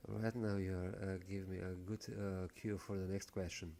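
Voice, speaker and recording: Man, interviewer, deep gravelly voice, hesitant with fillers. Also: flat delivery, moderate pace, warm tone. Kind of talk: interview questions.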